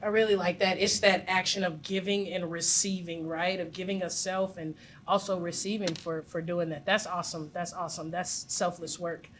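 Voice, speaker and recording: A woman's voice: fairly low pitch, flat delivery, normal speed, few pauses, slight background noise. A woman facilitating a community meeting on a handheld mic, upbeat and encouraging as she invites people to share. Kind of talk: live meeting hosting. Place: large meeting room with audience.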